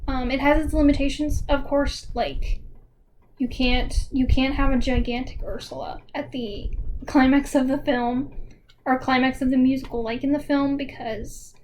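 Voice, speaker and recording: A young woman with a high voice talks through a casual video essay. She is chatty and informal, dropping in dry asides. A light layer of noise sits behind her. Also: fairly even intonation, moderate pace, a little mellow. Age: young adult.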